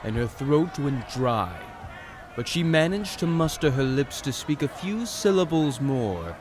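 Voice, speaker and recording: A man with a mid-pitched voice narrates a scripted fantasy audio drama. He reads as a dramatic storyteller, with clearly noisy ambience behind him. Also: big pitch swings, measured pace, a little mellow.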